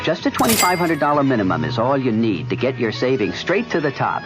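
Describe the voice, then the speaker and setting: A man's voice: mid-range voice, very animated, a little fast. A man reading a scripted promotional commercial as a radio announcer. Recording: dull old radio ad, music behind.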